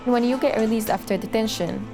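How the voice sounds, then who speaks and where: A woman's voice: on the high side, brisk. A young woman from Syria, speaking reflectively and with some hesitation in a documentary interview. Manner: reflective and hesitant, frequent "you know" fillers. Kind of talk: documentary interview and personal testimony. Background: background music.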